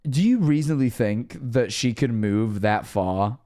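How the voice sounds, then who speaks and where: A man streaming casual reaction commentary, hoarse from losing his voice, talking in halting restarts. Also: mid-range voice, expressive, mellow.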